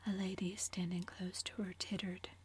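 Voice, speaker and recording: A woman narrating a classic novel entirely in a soft, unvoiced whisper. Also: lowish voice, flat delivery, moderate pace, slightly rough. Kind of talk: whispered audiobook reading.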